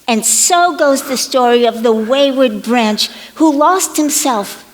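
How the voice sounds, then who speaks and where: High-voiced, unhurried woman storyteller. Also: a little hiss or hum. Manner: expressive, deliberate, with playful asides. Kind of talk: theatrical children's parable narration.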